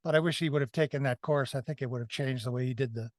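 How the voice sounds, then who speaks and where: A man co-hosting a review discussion, explaining his views casually and haltingly, often repeating and correcting himself. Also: mid-range voice, dry recording, studio quality. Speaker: podcast co-host reviewing films.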